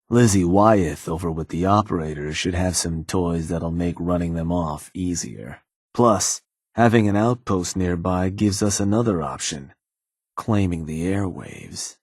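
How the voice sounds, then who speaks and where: A man's voice: on the low side, a little mellow, dry recording. An actor playing a terse, world-weary male raider lieutenant in a video game, speaking in a businesslike, dry manner. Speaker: game character.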